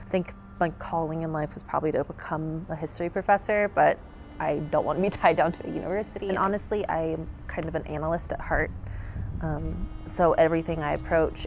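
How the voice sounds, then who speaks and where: Woman, voice actor, low pitch, casual interview, faint background music.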